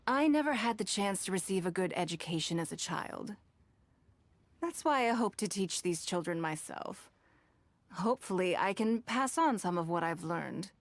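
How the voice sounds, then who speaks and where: A woman playing a poised, authoritative and protective noblewoman in a video game, measured and formal. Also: on the low side, animated, a little hiss or hum. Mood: reflective, assured.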